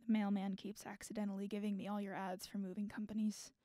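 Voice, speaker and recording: Woman playing wry guarded young adult, mellow soft-edged tone, dry recording, audio drama. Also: medium pitch, flat delivery, quick pace, no echo, lightly acted.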